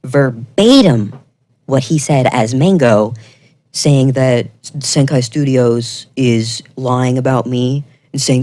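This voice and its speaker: This is a video creator with a voice of middling pitch. The delivery is semi-scripted commentary, earnest and pointed. Key words get firm emphasis, and the tone is accusatory. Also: a little mellow.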